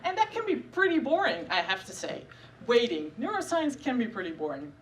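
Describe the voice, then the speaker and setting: A woman's voice: on the high side, sing-song, brisk, distant mic. A woman in her thirties from the Netherlands with a Dutch accent, performing a live stand-up set about her science work in a dry, self-deprecating way with frequent filler sounds. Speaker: neuroscientist doing stand-up. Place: stage at a live comedy show.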